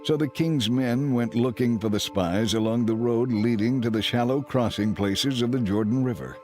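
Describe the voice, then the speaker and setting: A man giving measured, solemn scripted narration for a dramatized audio Bible. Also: mid-range voice, darkish tone, faint music behind.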